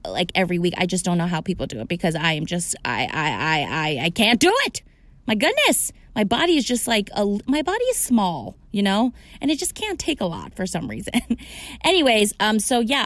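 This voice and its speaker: A woman's voice: mid-range voice, no room sound. A young adult woman hosting a podcast, chatty and emphatic, swearing casually as she talks. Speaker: podcast host.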